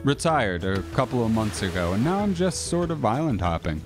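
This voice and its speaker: An actor playing a wry, slightly reserved retired traveler in a naturalistic audio drama, speaking with some hesitation. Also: male voice, fairly low pitch, very animated, brisk, music in the background. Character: reserved, wry Canadian retiree, island hopping.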